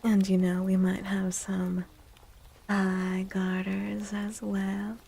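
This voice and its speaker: A woman speaking softly and breathily, often near a whisper, in a gentle ASMR livestream style. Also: mid-range voice, completely flat delivery, slow pace, vocal fry, a little hiss or hum. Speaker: streamer and tarot reader. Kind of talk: soft-spoken ASMR livestream chat.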